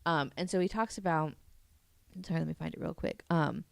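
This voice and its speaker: A woman's voice: low voice, fairly quick, vocal fry, dry recording. A young woman co-hosting a casual podcast, explaining research in a chatty way with lots of fillers and giggles. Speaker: podcast co-host presenting research. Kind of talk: casual chat.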